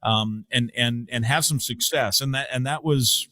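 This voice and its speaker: A man who calls radio play-by-play, a guest answering questions in a measured, explanatory way. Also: mid-range voice, bright, forceful tone, dry recording. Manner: measured, explains carefully with restarts. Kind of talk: interview answer.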